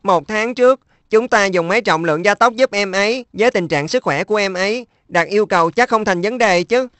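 A woman's voice: mid-range voice, fairly quick, fairly bright tone, no room sound, natural voice. A woman voicing a caring, earnest older brother who coaxes his little brother in a cartoon dub. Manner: earnest, coaxing.

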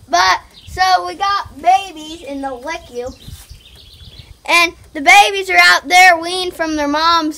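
A woman's voice: very high-pitched, bright, forceful tone. A teenage girl giving a casual walking tour of her family farm outdoors, talking plainly and tying her points together with lots of "so". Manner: chatty, plain, many "so" fillers.

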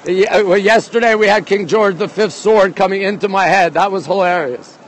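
High-voiced middle-aged man live-streaming outdoors. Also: a little fast. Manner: chatty, excitable, repeats himself, reacting to viewers. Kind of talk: casual walking live-stream chat. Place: outdoors on busy city streets, walking. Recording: outdoor street and traffic noise.

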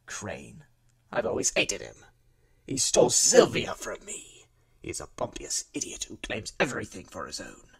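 A man voicing a bitter, hot-tempered alien houseguest in a theatrical, seething, raspy voice for an audio drama. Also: deep voice, wildly swooping pitch, moderate pace, distant mic, a loud crowd, exaggerated voice. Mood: bitter, indignant. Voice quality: raspy, gravelly.

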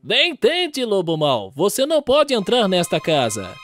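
Cautious eldest little pig, very animated pitch. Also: male voice, measured pace, faint music behind, full character voice. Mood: firm. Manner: earnest, cautioning.

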